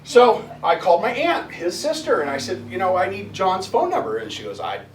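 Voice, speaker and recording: A man in his fifties giving a halting, earnest storytelling talk as a guest speaker at a spiritual center. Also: high-pitched, wildly swooping pitch, a little hiss or hum. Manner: halting, many restarts and fillers, earnest. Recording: echoey room, mic at a distance, faint ambience.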